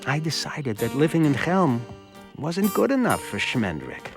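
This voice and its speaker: An actor playing a naive, earnest village simpleton who narrates his own folk tale in an animated short, with storytelling pauses. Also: on the high side. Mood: earnest, musing. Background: music.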